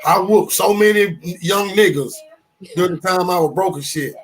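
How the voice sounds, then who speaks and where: A man in his fifties with a fairly high voice, talking loosely on a podcast. He stays animated and profane, stumbles over restarts, and keeps circling back into his stories. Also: normal speed, low-quality recording. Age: 50s. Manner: animated, swearing, storytelling with restarts. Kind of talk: casual podcast talk.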